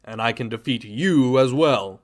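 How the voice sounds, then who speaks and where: A man voicing a brave, defiant male superhero who speaks in loud, earnest declarations in an audio drama. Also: mid-range voice, unhurried, natural voice.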